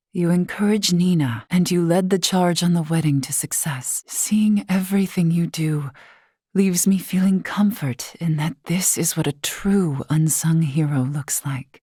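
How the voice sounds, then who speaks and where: Composed, formal, low-voiced scholarly woman. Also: mellow, husky, dry recording, clean studio sound. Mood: sincere, reflective. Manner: formal, measured, elaborate diction. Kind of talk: scripted video game character acting.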